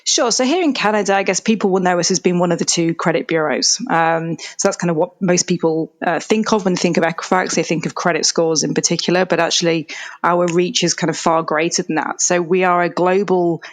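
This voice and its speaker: A woman's voice: on the low side, fairly even intonation, fairly quick. A woman from England with a Yorkshire accent, answering podcast interview questions in a thoughtful way, hedging with fillers. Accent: Yorkshire English. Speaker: analytics executive, interview guest.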